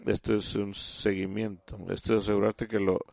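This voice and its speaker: A man giving a sermon in halting speech, often restarting and repeating words as he teaches the congregation. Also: fairly low pitch, little pitch movement, gravelly, darkish tone, no echo. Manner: addresses listeners as "usted". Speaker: church speaker.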